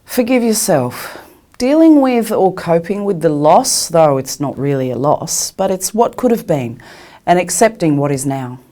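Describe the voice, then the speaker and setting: A woman's voice: on the low side, lively intonation, unhurried, mellow. A woman carer giving reflective personal testimony, often repeating words for emphasis. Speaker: carer sharing personal experience.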